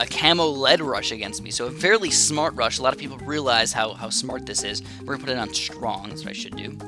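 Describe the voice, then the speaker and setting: Man, gameplay commentator, fast rambling chat, lots of fillers, clear game music behind. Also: medium pitch, pushed and bright. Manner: rambling and chatty, many fillers and restarts. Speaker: gaming channel host. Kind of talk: casual live gameplay commentary. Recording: game music playing under the voice.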